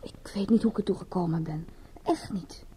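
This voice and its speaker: An actress playing a bored, guilty young fashion model in a radio drama, with a breathy, languid, confiding delivery. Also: female voice, lowish voice, moderate pace, warm tone, in a whisper, lightly acted. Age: young adult. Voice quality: husky, breathy. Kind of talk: radio drama acting in Dutch.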